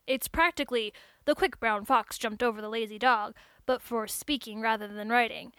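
A woman's voice: on the high side, normal speed, sharp tone, clear, resonant tone, no room sound. A woman voicing a chatty, geeky young male robot technician who talks in an eager, rambling, slightly awkward way in audiobook dialogue.

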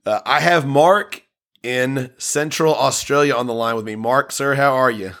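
A man's voice: medium pitch, normal speed, fairly bright tone. A man hosting a casual music chat, rambling and restarting his sentences. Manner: rambling, chatty, restarts a lot. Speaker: podcast host.

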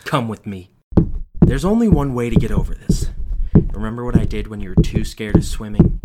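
A man doing a bullying, shouting father. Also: lowish voice, slow pace, heavy background noise. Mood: menacing. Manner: loud, menacing, profane. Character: abusive, bullying father shouting at his son.

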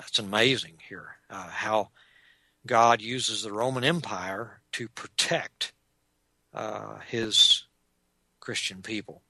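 A man with a Texan accent giving an unscripted lecture on church history, measured and explanatory, often pausing on "uh". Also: on the low side, bright, forceful tone, no echo. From Texas. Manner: measured and explanatory, with frequent "uh" pauses. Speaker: Bible teacher.

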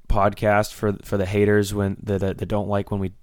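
Young male podcast co-host, slightly raspy, low-ish voice, rambling storyteller, dry close mic. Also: moderate pace, no room sound. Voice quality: a little raspy. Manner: rambling, animated storytelling with frequent fillers. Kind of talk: casual storytelling chat.

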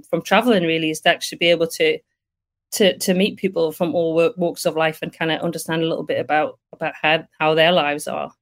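A woman hosting a podcast, talking loudly and chattily with many fillers over a video call. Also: on the low side. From Britain. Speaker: podcast host. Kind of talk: casual live chat on a video call.

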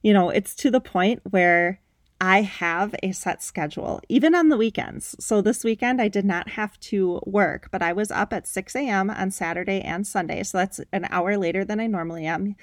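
A woman's voice: mid-range voice, normal speed, no room sound, clean studio sound. Upbeat motivational woman in her thirties. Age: 30s. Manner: upbeat, emphatic, conversational. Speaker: podcast host. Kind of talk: motivational solo podcast talk.

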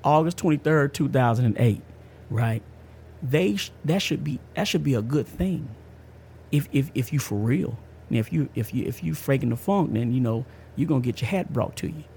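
Man, fifties, podcast host, gravelly voice, middle pitch, emphatic street slang, casual testimony. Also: animated, normal speed, a little mellow, slight background noise. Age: 50s. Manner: emphatic, slangy, repeats himself.

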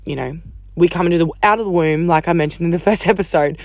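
A woman hosting a casual solo podcast, chatty and upbeat, swearing casually, her voice croaky from talking all day. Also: lowish voice, quick pace, dry recording, clean studio sound. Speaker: podcast host.

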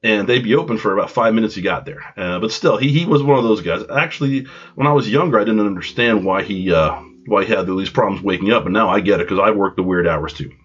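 A man's voice: lowish voice, quick pace, fairly bright tone, breathy edge. Stuffed-up man rambling through a story. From Long Island, New York. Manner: rambling, self-deprecating, goes off on tangents. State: congested from a cold. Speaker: podcast host. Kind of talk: casual storytelling monologue. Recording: dull lo-fi home recording.

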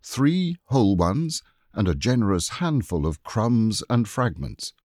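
Expressive low-voiced male storyteller. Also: a little slow, dry recording, studio quality. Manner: expressive, wry. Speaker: audiobook narrator. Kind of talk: storybook narration.

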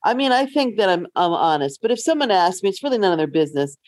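A woman's voice: medium pitch, very animated, fast talker, a little mellow, no echo. Woman in casual chat, dry sound. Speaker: podcast co-host.

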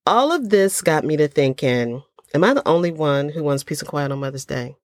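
A woman's voice: sits low, expressive, normal speed, a little mellow, dry recording, studio quality. An older woman hosting her own podcast in a casual, folksy chat, a little stuffy from a cold, with plenty of ums. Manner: chatty, folksy, lots of ums. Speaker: podcast host.

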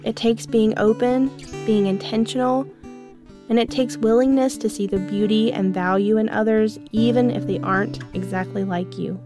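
A woman in her thirties hosting her own podcast, reading a reflective monologue in a gentle, earnest, measured way. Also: fairly high pitch, fairly even intonation, over music. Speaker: podcast host.

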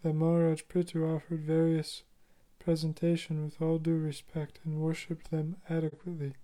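A voice reading listener comments aloud in an even, measured way. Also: medium pitch.

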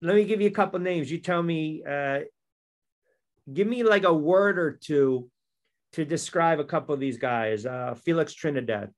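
A man's voice: on the high side, moderate pace. A man hosting a sports podcast, conversational, asking long leading questions. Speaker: podcast host. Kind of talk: sports talk interview.

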